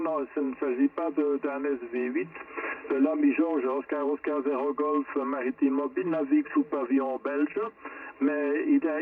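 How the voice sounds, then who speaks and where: A man speaking as an amateur radio operator over shortwave, matter-of-fact, with repeated tag words and spelled call signs. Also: fairly high pitch. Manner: matter-of-fact, tags phrases with "hein". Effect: shortwave radio transmission. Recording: tinny, distant shortwave radio, faint static.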